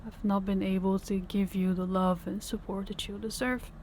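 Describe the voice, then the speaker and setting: A young, exhausted girlfriend, voiced by a woman, in an audio roleplay. She speaks softly, hesitating and restarting her words. The voice sits mid-range, and a faint room ambience runs behind her. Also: mid-range voice, level tone, normal speed, a little hiss or hum, close to an everyday voice. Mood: weary tender. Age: young adult. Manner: soft, hesitant, stammering restarts. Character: young woman, worn-out, loving girlfriend in debt.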